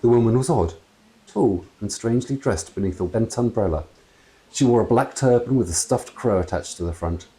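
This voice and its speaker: A man in his fifties reading a children's story aloud in an expressive storytelling style. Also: on the low side, normal speed, rough recording. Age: 50s. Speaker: author reading own book aloud. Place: small room with wooden door and brick wall. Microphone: clip-on lapel mic.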